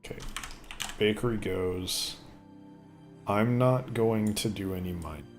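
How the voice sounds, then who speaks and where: A man rambling casually while commentating on a gameplay video, with a voice he says sounds deeper since an illness. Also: a little slow, faint music behind. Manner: rambling, chatty, thinks aloud. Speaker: gaming video host. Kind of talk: casual let's play commentary.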